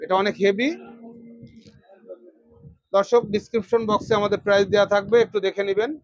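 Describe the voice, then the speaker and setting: A Bangladeshi man gives a product demonstration in a notably high voice. He addresses viewers directly and repeats phrases to press his points. The sound is dry and somewhat dull. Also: level tone, moderate pace, no echo. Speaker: electronics shop presenter. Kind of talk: product demonstration sales pitch.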